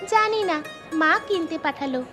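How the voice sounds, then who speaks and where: A woman's voice: very high-pitched, expressive, measured pace, over music. A woman voicing an eager, obedient little schoolgirl in a cartoon, childlike and curious. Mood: eager, innocent. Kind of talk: Bengali moral-story cartoon dubbing.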